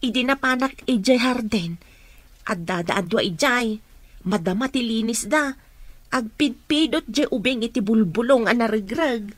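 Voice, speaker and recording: A woman's voice: a little high, a little slow, no room sound. A woman acting in a radio drama as a respectful, encouraging female therapist, with an Ilocano accent. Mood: respectful, reporting. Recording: music behind the voice.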